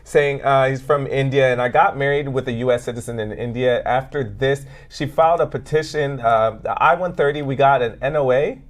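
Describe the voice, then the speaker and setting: A man's voice: medium pitch, a little fast, hardly any pauses. A man co-hosting a legal show, reading viewer questions casually and bantering with the host.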